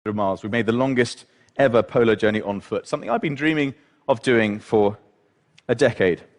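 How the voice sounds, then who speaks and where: A man giving measured, reflective documentary narration. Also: mid-range voice. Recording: reverberant film clip.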